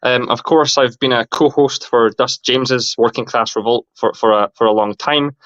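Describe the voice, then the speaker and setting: A man's voice: medium pitch, fairly even intonation, fairly bright tone, dry recording. Man with a light northern Scottish accent. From northern Scotland. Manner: halting, many fillers and restarts. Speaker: host. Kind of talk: casual livestream chat. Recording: dull, muffled livestream audio.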